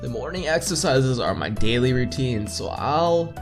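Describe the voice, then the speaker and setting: A man's voice: medium pitch, expressive, moderate pace. A young man reading visual novel text aloud as a playthrough host, informally, stumbling now and then and correcting himself, with casual asides. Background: game music.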